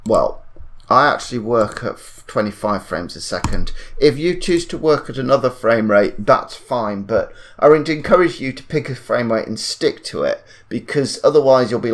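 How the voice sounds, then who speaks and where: A man giving an informal software tutorial, thinking aloud and correcting himself in a hesitant way. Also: on the low side. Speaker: tutorial presenter.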